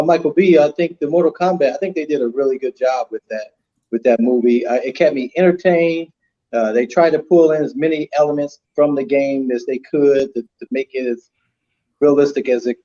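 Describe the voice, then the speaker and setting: A man co-hosting a gaming podcast who talks hesitantly, with many pauses and restarts. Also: medium pitch, warm tone, lo-fi sound. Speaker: co-host. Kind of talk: casual chat.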